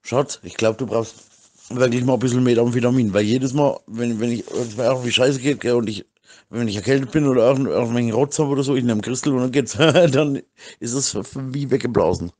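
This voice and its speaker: A man's voice: medium pitch, fairly quick, a little mellow. Rambling middle-aged German man. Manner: rambling, slangy, repeats himself. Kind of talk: casual private voice messages.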